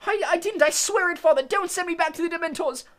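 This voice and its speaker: A man's voice: very high voice, sing-song, slow, pitched above the performer's own voice, exaggerated voice. A man voicing a terrified young man in chains, shrieking and pleading. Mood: desperate.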